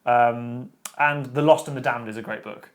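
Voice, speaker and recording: A man, co-hosting a casual podcast chat about books, opinionated and quick with restarts. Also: mid-range voice, fairly even intonation. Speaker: podcast co-host.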